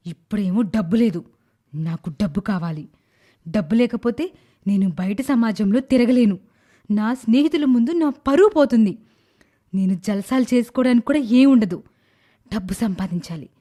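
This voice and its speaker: A woman voicing a greedy, cruel young daughter-in-law in an animated moral story, calculating and dramatic. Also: medium pitch, natural voice. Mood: worried, greedy. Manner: calculating, dramatic, self-pitying when pleading to others.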